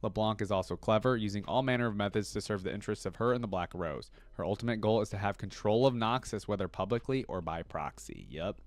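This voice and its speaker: Man reading lore with excited asides. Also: on the low side, quick pace, hardly any pauses, no echo. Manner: reads wiki text then breaks into excited asides. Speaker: reaction channel host. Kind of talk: casual reaction commentary, reading aloud.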